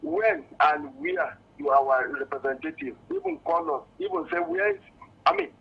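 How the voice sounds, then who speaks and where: A muffled phone-in caller, a man with a Nigerian accent and a high, gravelly voice. He speaks off the cuff, insistent and halting, circling back over his own words. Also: huge pitch swings. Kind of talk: spontaneous opinion on a call-in show. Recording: muffled, lo-fi phone call to radio.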